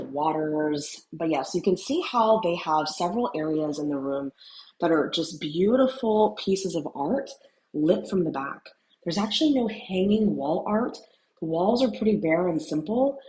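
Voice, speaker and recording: A woman's voice: fairly low pitch, a little mellow, low-quality recording. A middle-aged woman from Houston, a real estate broker, giving an enthusiastic, admiring tour of a hotel suite indoors, recorded on a handheld camera. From Houston, USA. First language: Cantonese. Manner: enthusiastic, admiring, mildly rambling with fillers. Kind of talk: hotel room tour vlog.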